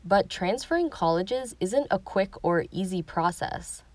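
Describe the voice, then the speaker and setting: A woman reading a scripted news report as host, in a measured, even delivery. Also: mid-range voice.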